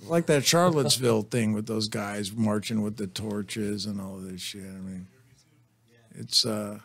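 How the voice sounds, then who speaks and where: A man's voice: lowish voice, measured pace, slightly rough. A middle-aged man, a punk musician guest on a radio show, talking bluntly and laid-back with casual swearing. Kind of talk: casual profane radio chat.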